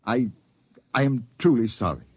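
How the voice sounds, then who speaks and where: An actor playing a subdued, remorseful expedition doctor, hesitant and deferential, in 1950s radio drama style. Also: male voice, medium pitch, animated, normal speed, a little mellow, husky, no echo. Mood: apologetic, resigned. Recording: dull, dry 1950s radio recording.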